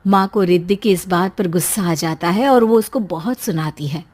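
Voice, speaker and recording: A woman's voice: on the low side, moderate pace, a little mellow. A woman reading scripted storybook narration as the narrator of a tale.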